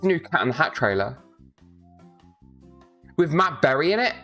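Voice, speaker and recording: A man's voice: a little high, big pitch swings, moderate pace, sharp tone, faint music behind. Tired, rambling streamer guy. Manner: rambling, joking, loose with filler words. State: tired from poor sleep. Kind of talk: casual livestream chat.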